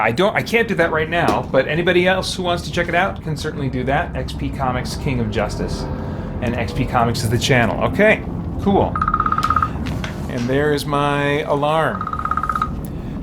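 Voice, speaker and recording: A man's voice: a little high, normal speed, very noisy. A man hosting a game livestream, talking casually as he plays and addresses his viewers. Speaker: livestream host playing a fantasy role-playing game.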